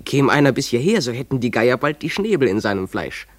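An actor playing a dreamy, defiant runaway schoolboy in a German radio play, speaking in an imploring, declamatory way. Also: male voice, medium pitch, big pitch swings, brisk, no echo.

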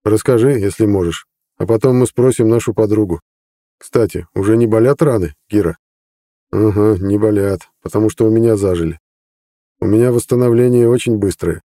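A man reading an audiobook voicing a weary, calm young man who speaks quietly. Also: on the low side, a little fast, no room sound, studio quality, natural voice. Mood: explaining.

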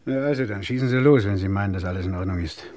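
An actor playing a brisk, impatient French police inspector, curt and sardonic, in an old German crime radio play. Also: male voice, fairly low pitch, a little mellow, natural voice.

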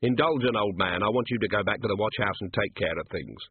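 A man playing a gruff, sardonic older police commander in a storybook reading, terse and dry. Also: on the low side, fast talker, no room sound, narrow, tinny audio. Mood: brisk, commanding.

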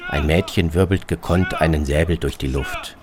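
Low-voiced man narrating in clipped phrases. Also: moderate pace, a crowd in the background. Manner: terse, clipped, fragmentary phrasing. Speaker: narrator of a radio travel piece. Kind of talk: scripted first-person narration, radio feature. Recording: music behind the voice. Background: music.

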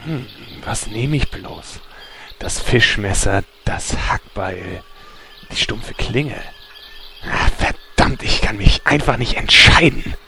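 A man's voice: on the low side, slow pace, vocal fry, slight background noise, full character voice. A man doing a creepy, cheerful knife killer. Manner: calm, creepily casual.

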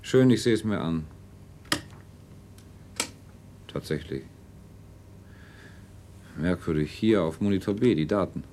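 An earnest male scientist speaks with stilted emphasis. His voice is very low, heard in a 1970s radio play with faint rumble underneath. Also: expressive, normal speed. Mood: alarmed, brusque. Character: earnest male scientist, family man in charge.

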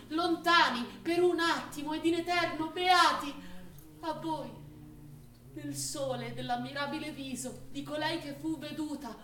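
An actor playing a grave poetic orator, reciting solemn, incantatory mourning verse in a theatre piece. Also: male voice, extremely high pitch, sharp tone, heavily acted. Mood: solemn, fervent. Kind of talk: stage poetry recitation in radio theatre. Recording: roomy, distant stage recording with hiss.

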